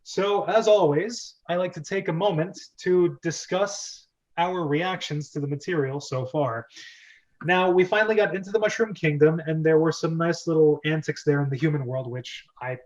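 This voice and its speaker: A man's voice: a little high, moderate pace. A man hosting a casual group discussion, chatty and explanatory as he talks, on a lo-fi recording. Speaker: host of a script reading club. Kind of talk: casual group discussion with read-aloud script.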